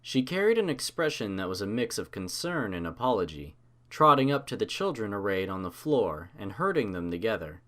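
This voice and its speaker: A man's voice: lowish voice, sing-song, fairly quick, fairly bright tone. A man giving a scripted narration of a story as an audiobook narrator.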